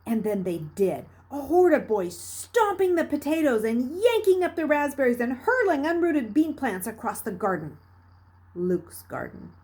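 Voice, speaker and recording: Woman reading a story aloud, mellow voice. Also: sits high, big pitch swings, a little slow. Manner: dramatic, with hushed passages and emphatic bursts. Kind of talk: expressive narration of a children's novel read aloud.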